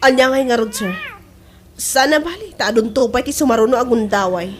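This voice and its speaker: A woman's voice: fairly high pitch, a little fast, slight background noise, lightly acted. A woman acting a loyal, deferential campaign aide in an Ilocano radio comedy drama. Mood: consoling.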